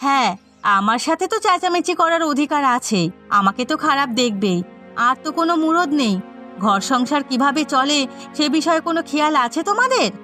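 A woman's voice: sits high, expressive, brisk, pushed and bright, faint music behind. A woman voicing a scolding, aggrieved village housewife in a cartoon, nagging and complaining. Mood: bitter, nagging. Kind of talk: cartoon drama dialogue.

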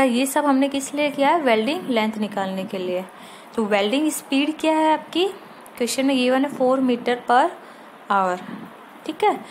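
Indian female lecturer, fairly high voice, didactic, explains step by step with rhetorical questions, noisy amateur recording. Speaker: polytechnic lecturer. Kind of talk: explanatory lesson mixing Hindi with English technical terms.

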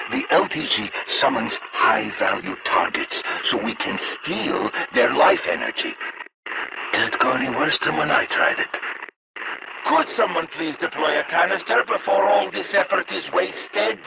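A man's voice: a little high, expressive, a little slow, creaky, heavy background noise, exaggerated voice. An actor playing an eccentric, sardonic and impatient male scientist in a video game, theatrical and exclamatory. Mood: urgent.

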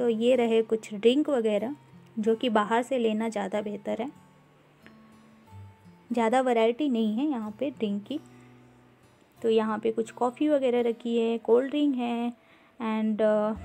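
A woman giving an unhurried, casual walkthrough of a grocery store, filming on a handheld phone and mixing English words into her talk with frequent "uh" fillers. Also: a little high, warm tone. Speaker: vlogger touring a grocery store.

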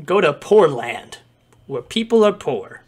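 A man's voice: fairly high pitch, big pitch swings, measured pace, a little mellow. A young man playing an online board game with friends over a voice call, joking and laughing often. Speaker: player. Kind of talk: casual game chat with friends.

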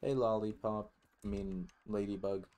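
A man's voice: lowish voice, fairly even intonation, a little slow, dark tone. A man giving casual let's play commentary as a gaming host, musing aloud in a rambling way and swearing freely.

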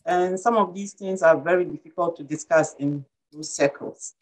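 A woman's voice: lowish voice, moderate pace, a little mellow, rough recording. A middle-aged woman with a Ghanaian accent, asking a question on a video call, hesitant and thinking aloud. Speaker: community member asking a question. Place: home room indoors. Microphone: video call webcam.

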